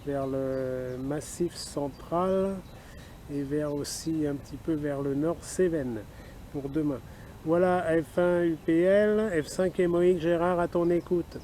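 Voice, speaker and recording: Mellow higher-voiced Frenchman talking outdoors. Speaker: amateur radio operator filming an outing. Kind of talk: casual outdoor vlog and radio call talk. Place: outdoors in a wooded park. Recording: outdoor ambience, faint background noise.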